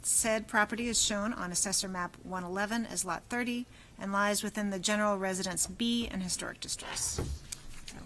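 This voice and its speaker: Woman, quiet voice, measured formal reading, table mic, clear background noise. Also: mid-range voice, steady tone, poor audio. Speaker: commission chair. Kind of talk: reading a formal agenda item. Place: city hall meeting room. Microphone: meeting table microphone.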